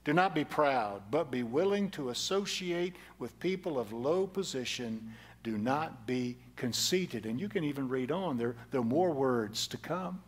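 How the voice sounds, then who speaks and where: A man's voice: medium pitch, expressive, a little slow. An older man preaching a sermon from a church stage, earnest and repeating key phrases for emphasis, on a headset mic. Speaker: pastor. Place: large church sanctuary stage at a lectern.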